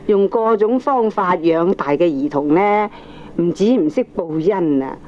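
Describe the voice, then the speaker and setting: An actress with a Hong Kong accent playing an opinionated middle-aged aunt, lecturing firmly and emphatically in a radio drama. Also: female voice, fairly low pitch, a little slow, close to an everyday voice. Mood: preachy, assured. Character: opinionated aunt, firm, preachy family adviser. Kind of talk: Cantonese radio drama acting. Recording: dull, slightly noisy old cassette recording.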